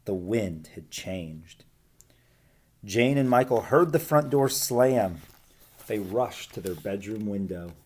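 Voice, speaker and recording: A man's voice: fairly low pitch, expressive, slow, darkish tone, slight background noise. A man, a schoolteacher, reading a picture book aloud and chatting casually to his students.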